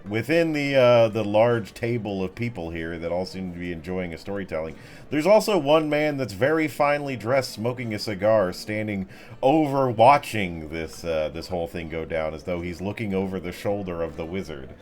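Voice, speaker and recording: A man's voice: on the low side, lively intonation, moderate pace. A man narrating an improvised tabletop game as game master in a casual, descriptive way. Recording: music behind the voice.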